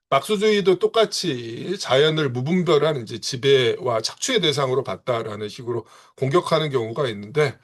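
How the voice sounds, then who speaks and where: Man lecturing with constant filler affirmations. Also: medium pitch. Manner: punctuates phrases with frequent filler affirmations. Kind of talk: seminar lecture.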